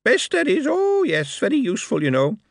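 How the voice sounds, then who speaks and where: A man voicing a cheeky, sarcastic male goblin in a shrill, cackling voice. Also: huge pitch swings, fairly quick, creaky, no room sound, clean studio sound, full character voice.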